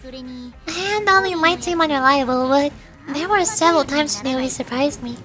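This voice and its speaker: A woman's voice: high voice, moderate pace, over music, close to an everyday voice. A woman voicing a shrewd, sassy young cat-girl mercenary in a video game, teasing and nonchalant. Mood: smug, nonchalant. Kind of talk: video game dialogue read aloud in character.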